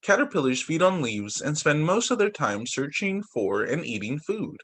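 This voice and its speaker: Man teacher reading aloud, lively voice. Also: fairly high pitch, moderate pace. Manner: reads aloud with asides and exclamations. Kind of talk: reading picture books aloud to children. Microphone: computer mic on a video call.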